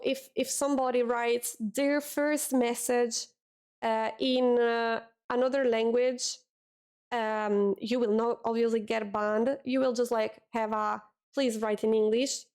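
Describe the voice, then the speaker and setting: A young Italian woman talks casually to her stream chat at a desk mic. Her voice sits a little high, and she repeats words as she goes. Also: level tone, slow, a little mellow, smooth. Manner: chatty, repeats words, reads chat aloud.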